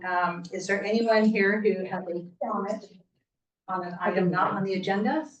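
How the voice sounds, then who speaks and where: A woman chairs a city commission meeting in a polite, procedural way. Her voice sits at a middle pitch. It comes from some distance in an echoing chamber. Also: fairly even intonation, moderate pace, highs cut off. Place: council chamber. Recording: echoey chamber, mic at a distance.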